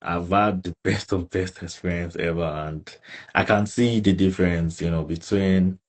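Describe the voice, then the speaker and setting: A man's voice: sits low, steady tone, normal speed. A young man with a Nigerian accent, chatting casually as an interview guest and repeating words for emphasis. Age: young adult. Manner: emphatic repetition, frequent tags like "right" and "you know". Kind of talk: casual chat.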